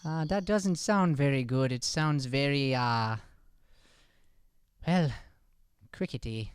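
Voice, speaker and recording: A man's voice: mid-range voice, takes it slowly, clear, resonant tone, no echo, studio quality, natural voice. A man playing a nervous, stammering Irish schoolboy who makes excuses, in an improvised radio comedy, with an Irish accent. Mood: earnest. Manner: stammering, defensive. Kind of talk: improvised old-time radio comedy play.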